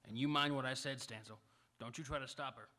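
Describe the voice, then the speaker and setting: An actor playing a gallant, stubborn unarmed cowboy in a live radio Western, speaking in folksy rural style. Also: male voice, mid-range voice, fairly even intonation, brisk, pushed and bright, lightly acted. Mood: earnest, defiant. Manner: folksy rural speech, polite but stubborn. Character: earnest, gallant, unarmed cowboy standing up for his sweetheart. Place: auditorium stage, live radio theatre performance.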